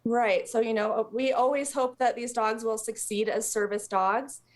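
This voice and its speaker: A woman's voice: a little high, steady tone, unhurried. An American woman speaking as a guest in a live television interview, explaining volunteer work in a conversational way for a charity. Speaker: interview guest, service dog charity representative.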